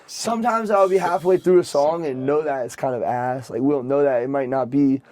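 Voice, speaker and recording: A man's voice: mid-range voice, moderate pace, darkish tone, no echo. A young man, a rapper, chatting casually on a podcast, rambling and leaning heavily on filler words. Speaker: rapper guest.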